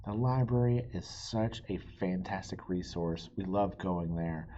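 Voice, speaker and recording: A woman's voice: extremely low pitch, measured pace, mellow. A woman reading a children's picture book aloud, expressively, with friendly asides straight to young listeners. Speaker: read-aloud storybook reader and host. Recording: dull lo-fi sound with faint noise.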